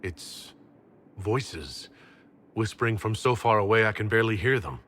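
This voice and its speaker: Game hero lead: resolute army leader, earnest, slightly low and crisp-edged. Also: male voice, animated, normal speed, a bit bright, in a large hall, a little hiss or hum. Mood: grave. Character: determined male fantasy hero, leader of an army. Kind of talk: video game voice acting.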